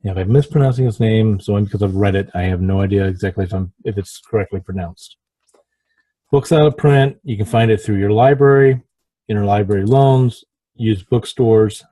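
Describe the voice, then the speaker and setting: A man hosting an informal writing lesson, halting and apologetic as he talks and reads aloud, often stumbling over his words. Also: fairly low pitch, mellow, breathy edge, no echo, poor audio. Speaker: host of a writing podcast. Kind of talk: informal lesson with passages read aloud from a book.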